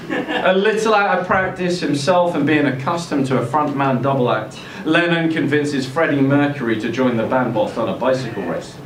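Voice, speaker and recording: Man reading a story live, echoey venue. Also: medium pitch. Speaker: reader at a live literary event. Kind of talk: dramatic story reading before an audience. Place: indoor social room at a live event. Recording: echoey venue, distant camera mic, faint noise.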